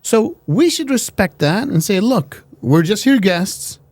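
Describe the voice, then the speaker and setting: A man's voice: high-pitched, big pitch swings, measured pace, darkish tone, no echo. A man co-hosting a talk show, arguing his opinions with emphasis and often repeating words as he builds a point. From America. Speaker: podcast co-host giving opinions. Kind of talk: casual debate chat.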